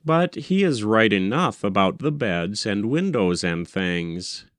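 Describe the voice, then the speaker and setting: A man reading a first-person literary story aloud as an audiobook narrator, with measured, deliberate phrasing. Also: lowish voice, expressive, fairly bright tone, no room sound, studio quality. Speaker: audiobook reader.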